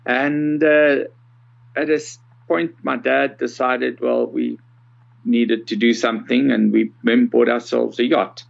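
A South African man in his sixties giving conversational, measured interview answers with some hesitation. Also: medium pitch, little pitch movement, creaky, muffled recording. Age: 60s. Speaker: podcast guest, accessibility consultant.

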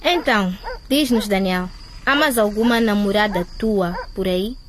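A young woman playing a bold, sharp-tongued female student in a radio drama. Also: mid-range voice, measured pace, noisy background, lightly acted. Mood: cold, sarcastic.

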